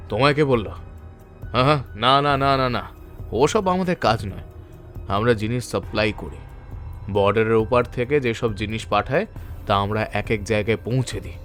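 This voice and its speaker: A man plays a menacing gang boss in an audio drama. His mid-range voice drawls with mock politeness and smug contempt. Soft music runs behind him. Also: medium pitch, normal speed, pauses often, lightly acted. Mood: smug, dismissive. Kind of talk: Bengali audio drama acting.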